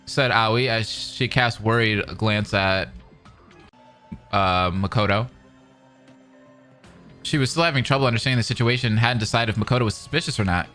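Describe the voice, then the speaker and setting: An expressive man narrates a story and drops in casual, excitable reactions. His voice is bright and forceful, with soft music in the background. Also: lowish voice, normal speed, pauses often. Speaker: reaction commentator.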